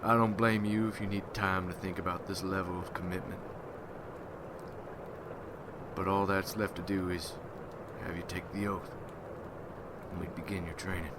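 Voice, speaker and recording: Man playing a deep, grim vampire hunter. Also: lightly acted. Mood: grave, resigned. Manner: solemn, brooding, deliberate. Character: grim, vengeful male vampire hunter guild recruiter. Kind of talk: ASMR roleplay, dramatic monologue. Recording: loud ambient noise behind voice.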